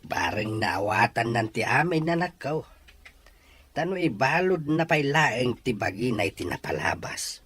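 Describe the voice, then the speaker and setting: Expressive, kindly father man acting, faint noise behind. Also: mid-range voice, a little slow. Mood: kindly, advising. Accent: Ilocano. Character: kindly, approving father advising his son. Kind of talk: Ilocano audio drama acting.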